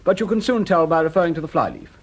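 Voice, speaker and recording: A British man acting a smooth, unruffled suspect in a radio drama, suave and casually polite with a husky voice. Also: fairly high pitch, sing-song, fast. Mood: casually assured. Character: smooth, unruffled male suspect with an answer ready.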